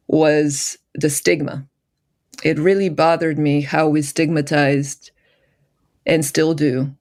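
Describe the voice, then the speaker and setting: A middle-aged woman from Bulgaria, a filmmaker answering interview questions thoughtfully, often pausing to search for words. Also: deep voice, a little mellow. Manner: reflective, searching for words with restarts. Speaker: filmmaker guest.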